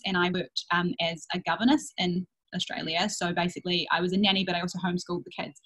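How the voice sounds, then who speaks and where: A woman's voice: on the low side, a bit bright, slightly rough, poor audio. A young woman from England, talking openly and reflectively as an interview guest about family life. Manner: open, reflective, with fillers and quick asides. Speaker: interview guest, worldschooling mother. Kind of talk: casual interview answers.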